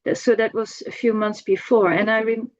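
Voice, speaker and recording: Halting middle-aged woman, dull lo-fi sound. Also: mid-range voice, steady tone. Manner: halting, searching for words, repeating herself. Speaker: devotee giving a personal homage. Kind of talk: informal spoken remembrance.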